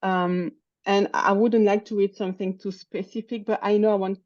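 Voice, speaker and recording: A woman's voice: mid-range voice, fairly even intonation, normal speed, darkish tone, no echo, dull audio. A woman whose first language is French, talking hesitantly in an online English lesson and often searching for words. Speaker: English student. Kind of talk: conversation practice in an online lesson. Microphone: video call.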